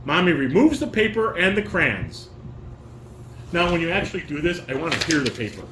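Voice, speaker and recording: A man's voice: fairly high pitch, sing-song, a little fast. An older man, a father casually directing a table read at home, giving corrections and reading stage directions aloud. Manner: instructing, corrective. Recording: roomy home recording with clear background noise.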